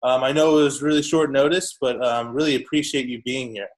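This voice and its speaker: A man's voice: mid-range voice, steady tone, a little fast. A man hosting a tech podcast, introducing a guest and asking questions in a casual, upbeat way with frequent uhs and ums. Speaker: podcast host. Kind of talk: podcast interview.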